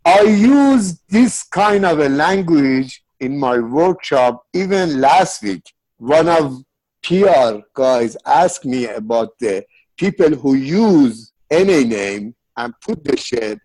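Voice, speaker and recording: A man with a Persian accent speaks as an interview guest, his first language Farsi. He tells his stories earnestly in broken English at an unhurried pace, his voice pitched a little high for a man. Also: animated, a little mellow, low-quality recording. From Iran. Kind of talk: casual interview.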